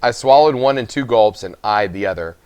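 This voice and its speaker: A man's voice: on the low side, lively intonation, brisk, darkish tone, clean tone, no room sound. A man narrating a children's book expressively as a story reader.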